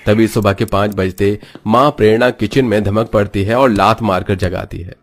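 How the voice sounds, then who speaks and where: A man's voice: on the low side, fairly even intonation, moderate pace. A man narrating a scripted moral story.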